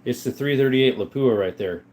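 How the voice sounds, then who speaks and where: A man hosting a casual livestream from a room full of guns, reading viewer comments aloud in a relaxed, joking way. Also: medium pitch, normal speed, slight background noise. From Arizona. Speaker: livestream host reading chat. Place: indoors, room with guns on display.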